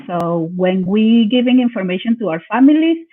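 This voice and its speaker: A woman from Venezuela with a Venezuelan Spanish accent, a bilingual parent educator who speaks Spanish as her first language and answers in a halting way, often searching for words. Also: medium pitch, animated. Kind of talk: conversational interview answers. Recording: tinny phone-like call audio.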